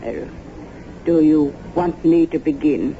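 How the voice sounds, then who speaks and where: An actor playing a watchful household retainer in a radio drama, speaking in a deliberate, probing way. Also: male voice, on the high side, slight background noise. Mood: cautious, probing. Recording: muffled old radio broadcast.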